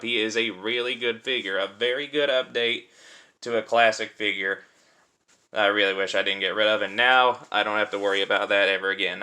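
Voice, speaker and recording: A man's voice: lowish voice, bright, forceful tone. A man giving a casual hands-on product review in an informal, conversational way, thinking aloud as he demonstrates. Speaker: toy reviewer and host.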